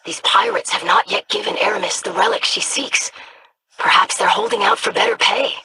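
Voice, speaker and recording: An actress voicing a calm scholar in a video game, speaking in a measured way. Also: female voice, medium pitch, noisy background. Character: cool, measured scholar woman.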